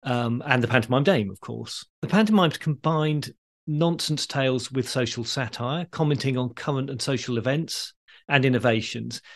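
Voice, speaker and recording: Man, podcast host, medium pitch, measured explanatory delivery, history conversation.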